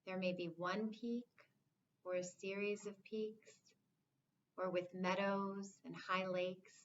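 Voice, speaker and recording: A woman leading a guided meditation as an instructor, speaking in a calm, soothing, measured way with long pauses. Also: medium pitch, lo-fi sound, slight background noise.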